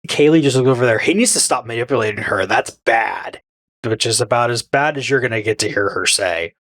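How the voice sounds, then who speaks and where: A man's voice: medium pitch, a little fast, fairly bright tone. Blunt, opinionated podcast man. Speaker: podcast co-host. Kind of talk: casual chat.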